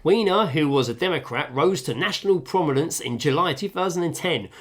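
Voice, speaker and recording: A man co-hosting a casual podcast from a home kitchen, reading out stories and chatting, animated and sweary. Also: fairly high pitch, brisk. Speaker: podcast co-host.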